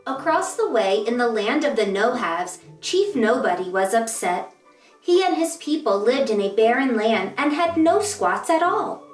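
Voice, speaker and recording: A woman reading aloud to children as a story-time host, with an expressive, deliberate storytelling delivery. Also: fairly high pitch. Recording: roomy echo, distant mic, music behind.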